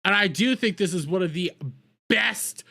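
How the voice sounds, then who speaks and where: A man's voice: high-pitched, normal speed, creaky, pushed and bright. A man hosting a casual live reaction stream, talking bluntly with swearing and repeating phrases for emphasis. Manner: blunt, profane, opinionated.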